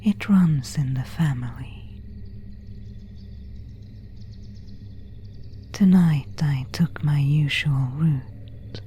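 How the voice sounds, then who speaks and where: Slow whispering seductive vampire woman, acted by a woman. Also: low voice, warm tone, full character voice. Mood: courteous, beguiling. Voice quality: breathy, unvoiced whisper. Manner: slow, formal, archaic, intimate phrasing. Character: pale, elegant vampire woman of an ancient family, seductive. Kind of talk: whispered ASMR roleplay.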